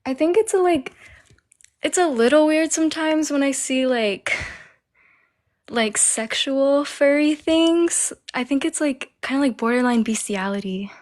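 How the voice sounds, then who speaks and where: Young woman, kinda high voice, hesitant, lots of ums. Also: lively intonation, unhurried. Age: 20s. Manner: hesitant, soft-spoken, searching for words. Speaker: interviewee. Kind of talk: casual chat.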